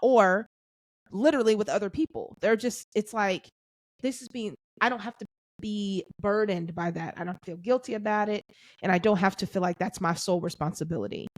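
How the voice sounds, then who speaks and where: A woman's voice: medium pitch, a little fast, no room sound. A woman hosting a podcast, talking reflectively about faith, thinking aloud and affirming her guest. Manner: thinks aloud with restarts, affirming "that's right", "amen". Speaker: podcast host. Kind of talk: reflective faith-centered casual chat.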